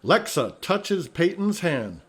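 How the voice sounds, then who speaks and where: Slow, husky man narrating a play. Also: high voice, big pitch swings, a bit bright. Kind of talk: scripted stage-direction narration.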